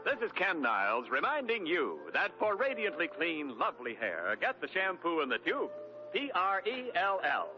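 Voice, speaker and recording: A man's voice: sits high, huge pitch swings, moderate pace. A man announcing sponsor commercials and credits in a polished 1940s radio style. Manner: smooth, polished, emphatic. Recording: dull lo-fi old radio recording with music.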